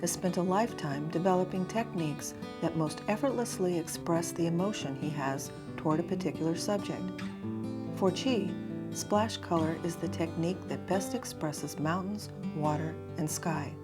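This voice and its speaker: A woman giving a smooth, polished scripted introduction to a video. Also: fairly low pitch, moderate pace. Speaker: narrator. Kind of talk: scripted narration. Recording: loud guitar music behind.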